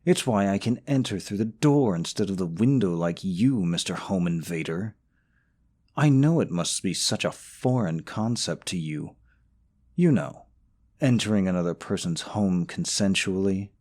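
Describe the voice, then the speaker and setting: A man's voice: low-pitched, animated, a little slow, darkish tone. A man playing a young, smug, possessive boyfriend in an audio roleplay, sarcastic toward his rival and syrupy sweet to the listener. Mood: smug, mocking. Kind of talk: scripted romantic audio roleplay.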